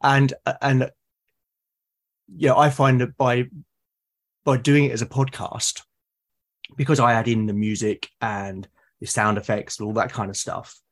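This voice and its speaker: A man with a British accent and a husky, slightly rough voice at a middling pitch. He speaks as an interview guest, explaining his thoughts earnestly in a conversational way. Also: little pitch movement, measured pace, pauses often. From Britain. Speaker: podcast guest, fiction podcaster.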